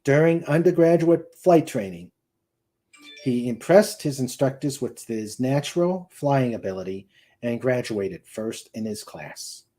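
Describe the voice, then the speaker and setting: A man's voice: medium pitch, animated, unhurried, mellow. A man giving a dramatic narration of a novel, with emphatic delivery and the odd stumble. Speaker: host and narrator.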